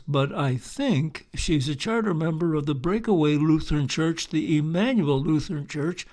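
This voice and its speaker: A man narrating local history as a storyteller, in measured phrases. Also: a little high. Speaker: tour narrator.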